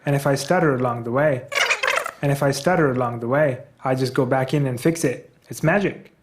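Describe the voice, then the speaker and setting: A man's voice: medium pitch, a little fast, background noise. A man with a Pakistani accent delivers a scripted, edited voice-over.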